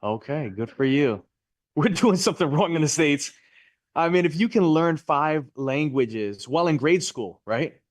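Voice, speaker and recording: A man's voice: fairly high pitch, animated, a little slow, no room sound. Talkative guy teaching a real estate class, upbeat, super dry mic sound. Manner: upbeat, chatty, asks students questions. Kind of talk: live class teaching and chat.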